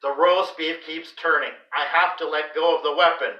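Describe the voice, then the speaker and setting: Man, bright higher-pitched voice, expressive children's read-aloud, deliberate delivery, echoey room. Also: dull audio. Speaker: read-aloud host for a school class. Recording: roomy echo, distant microphone.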